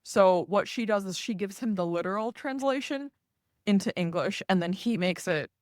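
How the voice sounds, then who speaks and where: Podcast host, first language Russian, measured, chatty, dry sound. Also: medium pitch, no echo. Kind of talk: casual interview conversation.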